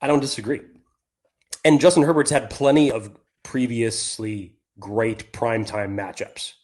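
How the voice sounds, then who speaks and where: A man co-hosting a sports podcast, measured and reflective with bursts of emphasis. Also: mid-range voice, steady tone, darkish tone. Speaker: podcast co-host.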